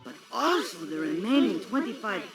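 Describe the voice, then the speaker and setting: A nervous formal lab sidekick from a cartoon game, voice bouncing around, loud music. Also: male voice, high voice, sing-song, normal speed. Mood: worried. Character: anxious, subservient male scientist henchman. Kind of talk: video game cartoon acting.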